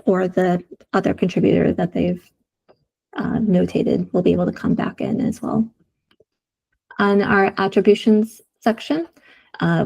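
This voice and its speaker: Woman with a sore, gravelly voice. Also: mid-range voice, darkish tone. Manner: explanatory, many "um" and "uh" fillers. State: sore throat, losing her voice. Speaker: UX designer presenting prototypes. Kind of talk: community meeting screen-share walkthrough.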